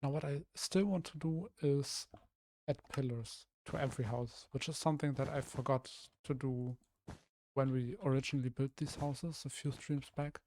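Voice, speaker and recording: A young man from Germany, a streamer with a rough, slightly hoarse voice, thinking aloud hesitantly with many restarts while he builds in a game. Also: mid-range voice, moderate pace, a little mellow, slight background noise. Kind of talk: casual live-stream commentary while building.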